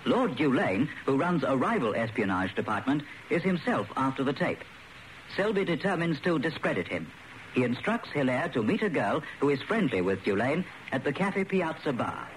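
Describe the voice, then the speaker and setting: A man's voice: mid-range voice, big pitch swings, normal speed. Man narrating a radio drama. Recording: noisy old radio recording.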